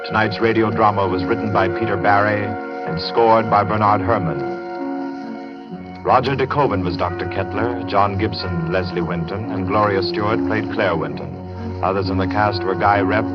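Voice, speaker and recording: Low-voiced vintage radio announcer man. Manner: formal, measured, dramatic pauses. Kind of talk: 1940s radio announcing, scripted. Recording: dull vintage radio, loud music behind.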